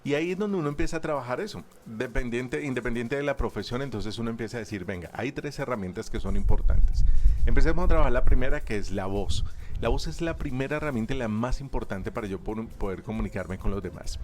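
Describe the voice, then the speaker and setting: A man's voice: medium pitch, animated, quick pace. A man, a public speaking instructor talking as a guest in a radio booth, emphatic and stacking repeated parallel phrases. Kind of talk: radio interview guest explaining.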